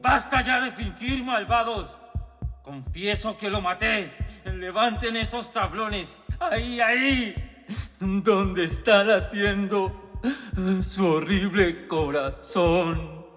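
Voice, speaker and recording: Give the voice of a tormented murderer in a radio horror play. He is paranoid and halting, with a slow, agitated delivery on a higher-pitched male voice. Long hall reverb surrounds him, with music underneath. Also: fairly bright tone. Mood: anguished frenzy. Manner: agitated, halting, building to frantic outbursts. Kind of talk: dramatic radio horror monologue.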